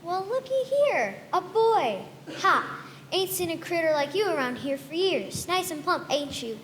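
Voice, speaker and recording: A sly old country woman coos in a folksy, singsong drawl. Her voice sits very high and rings with hall reverb. Faint noise lies under the cartoon track. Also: sing-song, measured pace, fairly bright tone, full character voice. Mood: sly, sugary. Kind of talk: cartoon voice acting.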